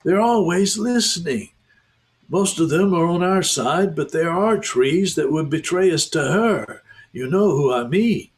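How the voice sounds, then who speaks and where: Hoarse throaty storybook beaver, high and hushed, all secretive, a guy putting it on. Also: expressive, a little slow. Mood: urgent hushed. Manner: urgent, hushed, raised higher. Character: wary whispering male beaver, secretive and earnest.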